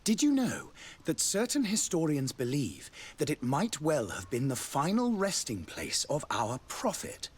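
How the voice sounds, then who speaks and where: Husky, courtly fantasy ambassador, animated pitch. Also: male voice, exaggerated voice. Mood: proud, zealous. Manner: formal, florid, diplomatic. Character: courtly, devout male ambassador of a theocratic nation. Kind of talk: scripted fantasy video game dialogue.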